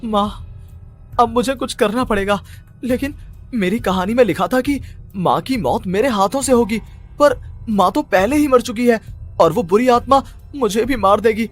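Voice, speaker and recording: A man's voice: very high-pitched, moderate pace, soft background music. A man playing a panicky, haunted male writer who talks in agitated, repetitive disbelief in a horror cartoon story. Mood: shocked, desperate. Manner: agitated, repeats himself in disbelief.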